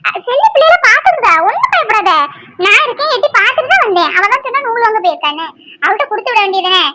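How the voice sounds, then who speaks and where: A woman's voice: very high-pitched, a little fast, very noisy. A woman acting a feisty, protective village housewife in a drama, blunt and chatty. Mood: alarmed, comforting.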